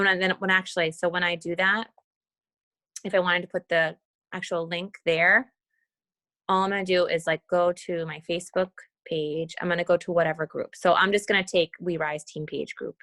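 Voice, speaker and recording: A woman with a slightly low, creaky voice walks her team through an on-screen task. She speaks casually, thinking out loud as she goes. The sound is dry and close, with little room around it. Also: no echo. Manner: casual, thinking aloud while demonstrating. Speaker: team leader giving a tutorial. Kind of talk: informal screen-share walkthrough on a group call. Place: video call with screen share.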